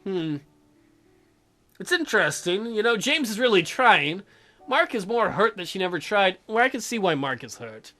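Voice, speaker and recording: A man's voice: high voice, very animated, normal speed, pushed and bright. A man hosting a let's play, mixing sarcastic, mocking asides with read-aloud narration of a visual novel.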